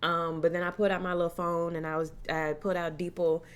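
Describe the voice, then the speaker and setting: A young woman vlogger telling a personal story in a casual, animated way, slipping into the voices of the people she quotes. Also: lowish voice, a little fast, smooth. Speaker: horror vlogger.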